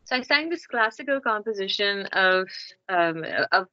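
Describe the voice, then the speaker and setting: A woman from Canada, a singer and podcast guest, telling an animated anecdote and laughing often. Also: medium pitch, moderate pace, no room sound, muffled recording. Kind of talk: casual anecdote in an interview.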